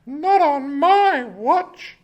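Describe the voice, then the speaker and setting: Bold fairy godmother, very high and loud, scolding, children's radio play. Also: expressive, very slow, lo-fi sound, full character voice. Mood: indignant.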